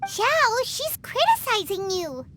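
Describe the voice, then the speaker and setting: A woman's voice: very high voice, big pitch swings, takes it slowly, bright, forceful tone, heavily acted. A woman voicing a tiny, childlike fairy companion in an anime game, bubbly and blunt with a cheeky way of talking. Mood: indignant. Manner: refers to self in third person, cheeky.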